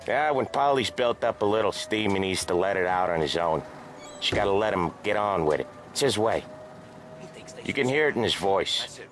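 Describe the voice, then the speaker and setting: An actor playing a cocky, seasoned mobster in a video game cutscene, talking in streetwise gangster slang. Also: male voice, fairly low pitch, animated, normal speed, lightly acted. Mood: dry, matter-of-fact. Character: cocky seasoned male mobster, teasing and tough. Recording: loud music and street noise behind.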